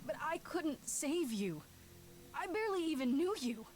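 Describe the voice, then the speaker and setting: A woman's voice: high voice, very animated, measured pace, faint music behind. An actress playing an earnest, wounded but defiant young woman in a video game drama, with emotional delivery. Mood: hurt, bewildered. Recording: game audio with faint background noise.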